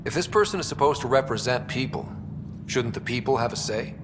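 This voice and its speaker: A man's voice: animated, a little fast, natural voice. An actor playing an easygoing young male space explorer in a video game, talking in a casual, wry way. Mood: firm, earnest. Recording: noisy, echoing hall, ambient rumble.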